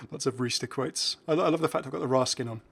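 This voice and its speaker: A man's voice: mid-range voice, quick pace, creaky, a bit bright, husky. A man hosting a gaming video with casual, informal and enthusiastic commentary.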